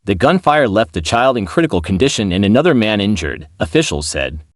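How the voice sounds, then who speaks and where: A man's voice: lowish voice, big pitch swings, fairly quick, no echo, studio quality. A man reading a scripted news report as a narrator.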